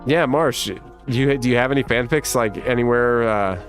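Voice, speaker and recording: A man in his thirties with a rough smoker's voice, casually chatting on a livestream and reading viewer comments, with lots of laughs. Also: mid-range voice, normal speed, soft background music. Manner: laid-back and rambling, laughs often. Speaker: livestream host.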